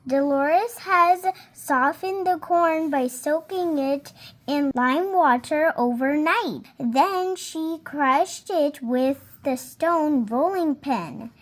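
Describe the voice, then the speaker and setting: A young reader slowly and carefully reading a picture book aloud, now and then stumbling on a word and fixing it. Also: female voice, high-pitched. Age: child. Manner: slow careful reading with small slips and self-corrections.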